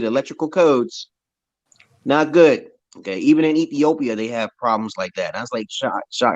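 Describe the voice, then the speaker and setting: A man with a deep voice in casual, opinionated chat, talking in a loose, slangy way and laughing often. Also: lo-fi sound. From United States. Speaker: conversation partner in an English chat.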